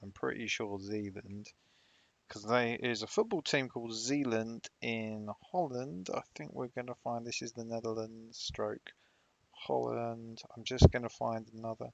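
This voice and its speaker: Gravelly Sunderland man, joking. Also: medium pitch, fairly even intonation, measured pace, no echo, dull audio. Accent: Sunderland English. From Sunderland, England. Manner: blunt, joking, repeats himself. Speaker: streamer hosting a geography guessing game. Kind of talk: casual banter while playing.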